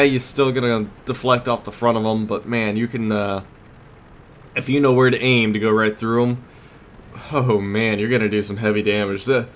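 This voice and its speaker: A man's voice: medium pitch, slight background noise. A man giving casual gameplay commentary as a let's play host, thinking aloud in a conversational way.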